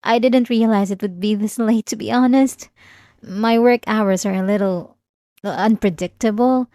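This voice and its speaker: A woman's voice: mid-range voice, moderate pace, mellow, dry recording, studio quality, lightly acted. A woman playing an obsessive, manipulative young therapy patient in an ASMR roleplay, speaking politely and hesitantly with nervous little laughs and defensive insistence. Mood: polite, anxious. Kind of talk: scripted ASMR roleplay acting.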